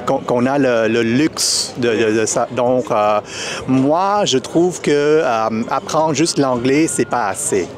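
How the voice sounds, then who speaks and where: A man's voice: medium pitch, slow. Hesitant Vietnamese-born man speaking outdoors. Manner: hesitant, many fillers and restarts. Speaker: festival volunteer. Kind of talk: street interview. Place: outdoors at a city festival.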